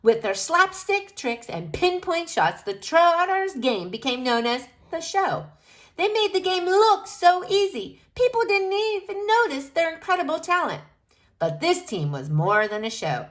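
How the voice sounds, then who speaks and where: A woman reading a children's picture book aloud expressively, with emphatic, dramatic phrasing and drawn-out key words. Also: very high-pitched, sing-song.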